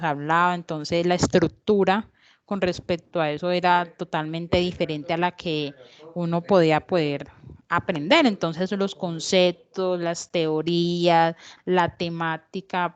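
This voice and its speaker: Halting woman interpreter, smooth low voice. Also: fairly even intonation, lo-fi sound. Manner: halting, searching for words, frequent fillers. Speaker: sign language interpreter voicing a deaf guest.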